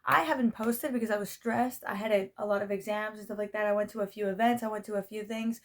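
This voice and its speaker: A young adult woman chatting casually in a vlog, with a slightly raspy voice and a rambling, self-interrupting manner. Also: medium pitch, fairly even intonation, quick pace. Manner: rambling, self-interrupting, frequent check-in tags. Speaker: vlogger.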